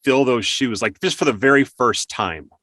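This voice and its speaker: Bright-voiced man hosting an interview. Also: mid-range voice, moderate pace, no echo, studio quality. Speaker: interview host. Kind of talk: casual conversational interviewing.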